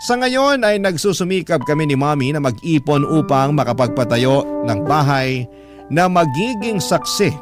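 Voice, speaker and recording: A man with a Filipino accent reading a letter as a heartfelt, measured dramatic narration. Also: a little high, expressive. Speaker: radio storyteller reading a listener's letter. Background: music bed.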